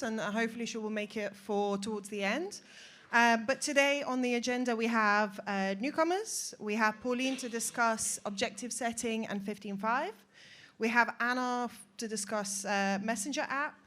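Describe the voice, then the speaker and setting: A woman's voice: a little high, a bit bright. A woman hosting a weekly office breakfast meeting and introducing newcomers. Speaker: meeting host.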